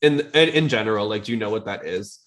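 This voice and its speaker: A man with a fairly low-pitched voice hosts a casual podcast. He talks in an informal, conversational style, as in a relaxed discussion between fans. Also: quick pace. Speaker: podcast host. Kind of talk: casual podcast chat about anime.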